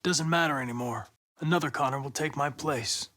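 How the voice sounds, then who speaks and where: A young male android detective from a video game, with an American accent and a notably high voice. He speaks in precise, measured, formal phrases. He comes across as polite and analytical, with an earnest determination underneath. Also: sharp tone. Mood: grave, subdued.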